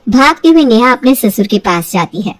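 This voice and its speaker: A woman narrating a scripted story as an expressive, dramatic storyteller. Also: a little high, normal speed, no echo, distant mic.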